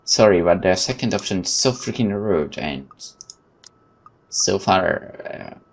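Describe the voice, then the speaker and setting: A man hosting a let's play, reading a visual novel's text aloud and adding informal, animated asides. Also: low-pitched, unhurried. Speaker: gaming video host.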